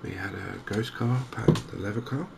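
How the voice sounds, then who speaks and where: A man giving softly spoken ASMR commentary on a game, hushed, hesitant and unhurried, close to the microphone. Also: fairly low pitch. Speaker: gaming video host. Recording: clear background noise.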